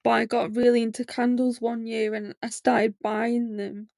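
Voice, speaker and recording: A woman's voice: fairly high pitch, flat delivery, moderate pace, creaky, a little mellow, no room sound, studio quality. A woman in her late twenties hosting a podcast, talking candidly in a rambling personal monologue with lots of fillers. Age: 20s. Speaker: podcast host.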